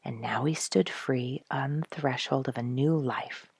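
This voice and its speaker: Woman reading a story aloud, expressive and dramatic, raspy voice, close dry recording. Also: extremely low pitch, measured pace, no room sound. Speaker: storyteller, show host. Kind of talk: scripted storybook narration.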